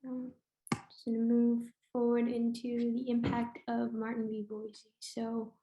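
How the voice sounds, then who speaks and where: A woman with a slightly hoarse, unwell voice presents slides on a webinar, explaining in a halting way with frequent pauses. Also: a little high, completely flat delivery, dark tone, slight background noise. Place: indoor room on an online webinar. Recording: roomy, echoey webinar sound.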